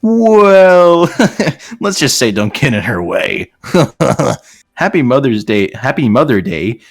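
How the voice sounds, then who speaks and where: A man's voice: medium pitch, very animated, takes it slowly. A man co-hosting a casual comedic reaction session, swearing freely and mocking what he reads out with sarcasm. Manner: profane, sarcastic. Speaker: co-host reacting to fan posts. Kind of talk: casual comedic banter, mocking read-outs.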